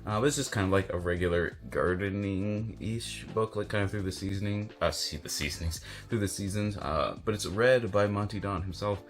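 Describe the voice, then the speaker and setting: Low-voiced man rambling casually through book picks. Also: brisk, few pauses, soft background music. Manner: rambling, hesitant, filler-heavy delivery. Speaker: book reviewer and video host. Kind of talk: casual unscripted chat to camera about books.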